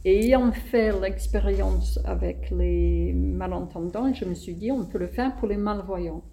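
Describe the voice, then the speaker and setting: A woman playwright with a non-native French accent, answering interview questions in a hesitant, thoughtful way with many pauses. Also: mid-range voice, vocal fry, dark tone, a little hiss or hum.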